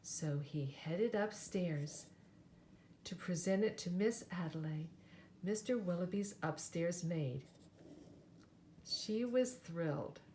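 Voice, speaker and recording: Unhurried woman reading a children's storybook aloud. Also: on the low side, slight background noise. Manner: animated, dramatic, with asides to listeners. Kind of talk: expressive read-aloud for children.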